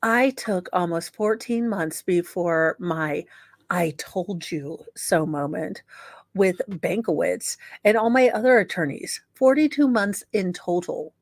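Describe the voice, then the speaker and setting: A woman's voice: on the low side, takes it slowly, gravelly, no room sound, studio quality. A woman host doing a dramatic reading of letters, with sardonic, mocking asides.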